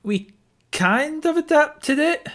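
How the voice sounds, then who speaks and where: A man's voice: very high-pitched, lively intonation, slow pace, fairly bright tone. A man co-hosting a casual film discussion, giving his opinions and repeating points for emphasis. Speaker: podcast co-host.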